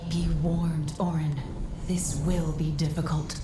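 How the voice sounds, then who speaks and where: An actress voicing a steadfast mystical guide in a fantasy video game, grave and measured as she advises a warrior. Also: low voice, level tone, noisy background. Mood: grave warning. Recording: echoey, music behind. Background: game score.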